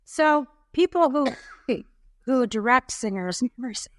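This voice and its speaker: A woman's voice: high-pitched, lively intonation, unhurried, fairly bright tone, slight background noise. A woman in her fifties co-hosting a podcast, chatting casually and encouraging listeners. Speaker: podcast co-host. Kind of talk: casual chat.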